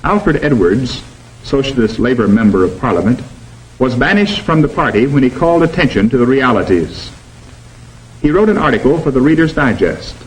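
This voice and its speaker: A man narrating a 1950s documentary film in a measured, emphatic delivery. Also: mid-range voice, animated, low-quality recording.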